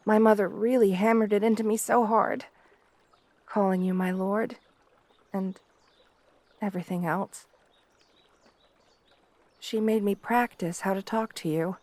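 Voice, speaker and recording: Woman acting a shy, slow-spoken young princess. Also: medium pitch. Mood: earnest, demure. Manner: soft, hesitant, deferential. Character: shy, gentle, devoted young princess bride, eager to please. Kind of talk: roleplay ASMR acting.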